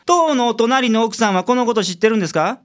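A blunt, tired male detective with a Kansai accent, as a woman acts him out. He lectures his clients in a dry, exasperated way, and his pitch jumps around a lot. It sounds like a small local radio drama. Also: lively intonation, quick pace, close to an everyday voice. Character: weary middle-aged male detective, blunt and sardonic.

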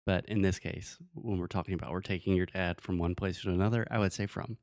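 A man's voice: low voice, fairly even intonation, fast, darkish tone, dry recording. Man, podcast co-host, casual teaching, patient and playful, dry close sound. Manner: patient, explains with examples, playful. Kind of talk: casual bilingual English lesson chat.

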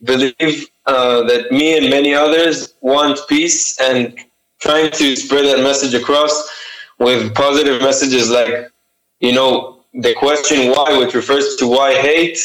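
A man's voice: mid-range voice, steady tone, unhurried, a bit bright, echoey room, rough recording. A young man with an Israeli accent, a native Hebrew speaker, answering interview questions about his art with many fillers and restarts. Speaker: artist and t-shirt designer.

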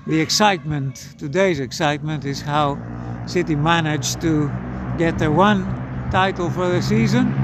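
A man in his seventies from Greece, a podcast guest telling stories in a measured, thoughtful way. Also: on the high side, very noisy. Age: 70s. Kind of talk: casual conversation.

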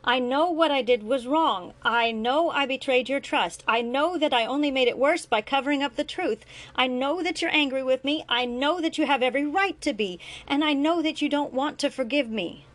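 A woman's voice: medium pitch, animated, normal speed, vocal fry. A woman voicing a remorseful older father who pleads with his son for forgiveness in a read-aloud story, close to her own voice. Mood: insistent. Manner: pleading, then firm and impatient.

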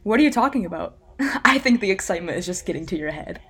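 A woman's voice: on the low side, lively intonation, fast talker, fairly bright tone, slightly rough. A woman playing a manic, dismissive, gleefully unhinged tour guide in a comedic audio drama, theatrical and mock-condescending. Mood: hyped, brash.

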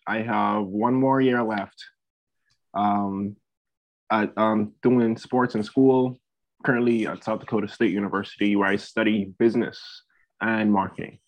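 A man's voice: fairly low pitch, fairly even intonation, unhurried. A man in his twenties from the Bahamas talking casually as a podcast guest, hesitant and repeating phrases as he thinks. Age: 20s. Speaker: podcast guest, student athlete and entrepreneur. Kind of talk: casual interview talk. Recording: dull lo-fi audio.

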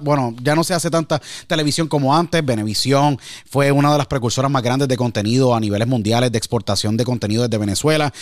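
A man's voice: mid-range voice, dry recording, studio quality. A man hosting a podcast, effusive and repetitive, mixing English words into his speech as he presents an interview. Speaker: podcast host. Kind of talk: enthusiastic interview introduction.

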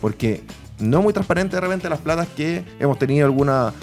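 A Chilean man hosting a casual political interview, asking rambling, halting questions as he works toward his point. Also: fairly high pitch, lively intonation, darkish tone, faint music behind. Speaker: podcast interviewer.

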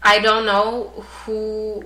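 Young woman, spanish first language, chatty podcast guest, bright voice, echoey room. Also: mid-range voice, completely flat delivery, drawn-out words. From United States. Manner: chatty, laughs often, many fillers. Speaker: guest artist. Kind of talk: casual podcast chat.

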